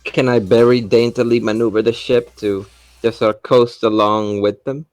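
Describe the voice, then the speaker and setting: A man's voice: medium pitch, fairly even intonation, normal speed, a little mellow, dry recording, soft background music. Dry-sounding man at a game table. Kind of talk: casual game-table chat.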